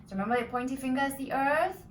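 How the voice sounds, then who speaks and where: A woman speaks in a fairly high voice, chatting to children as a storyteller. She is encouraging and instructive. The recording is roomy and echoey, with the microphone at some distance. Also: racing. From Singapore. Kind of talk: interactive children's storytelling chat. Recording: echoey room, distant mic, faint noise.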